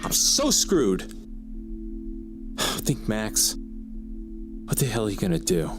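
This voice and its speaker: Guy acting as a desperate brooding writer, real low voice, wordy n theatrical, audio drama w music behind it. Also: wildly swooping pitch, very slow, exaggerated voice. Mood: anxious, frantic. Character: desperate, self-tormented male crime writer talking to himself, turning sinister.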